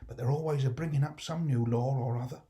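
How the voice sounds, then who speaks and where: Classic storybook role, acted by a man: kindly old working-class dad, mellow tone, homely dialect, musing and affectionate. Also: mid-range voice, fairly even intonation, fast, lightly acted. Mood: fond, wry. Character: kindly, humble old working-class London father, easily enraptured.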